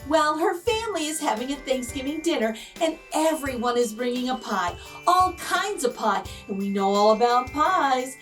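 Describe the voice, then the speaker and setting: A woman's voice: high voice, animated, normal speed, a bit bright, soft background music. A woman host reading a children's storybook aloud expressively, drawing out key words for emphasis. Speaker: children's picture book read-aloud host.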